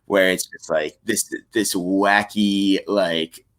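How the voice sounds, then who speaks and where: A man in a casual podcast conversation, easygoing and often chuckling, with halting phrasing. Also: deep voice, fairly even intonation, a bit bright. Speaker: podcast co-speaker.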